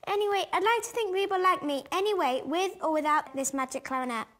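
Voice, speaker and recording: A woman's voice: very high-pitched, fast talker, a bit bright. A female performer playing a kind, sensitive schoolgirl in a radio play, earnest in manner. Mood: earnest, reluctant. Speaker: actor in an amateur radio play.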